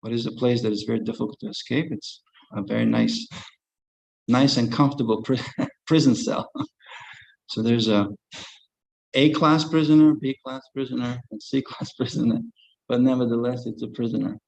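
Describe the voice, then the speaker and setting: A man's voice: mid-range voice, steady tone, normal speed, vocal fry, pauses often, darkish tone, poor audio. A man giving a devotional lecture as a spiritual teacher, conversational, chuckling, and asking rhetorical questions.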